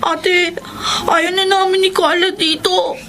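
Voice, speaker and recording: A woman's voice: extremely high pitch, level tone, slow pace, a bit bright, heavily acted. A woman acting an aggrieved, tearful younger sister in a Filipino radio drama, plaintive and protesting. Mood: bitter sadness.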